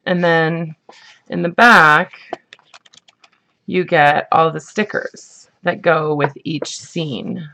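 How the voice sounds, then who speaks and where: A woman talks in a relaxed, rambling way, full of ums and likes. Her voice is husky from the morning, with a gravelly fry underneath. She sounds like a casual crafter showing her haul. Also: low voice, takes it slowly, pauses often. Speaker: craft vlogger. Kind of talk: casual show-and-tell chat.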